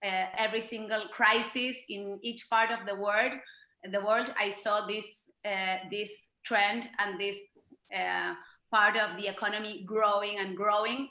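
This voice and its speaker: A woman in her forties, a business owner presenting her business, halting with many fillers. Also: medium pitch, steady tone, sharp tone. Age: 40s. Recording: echoey room.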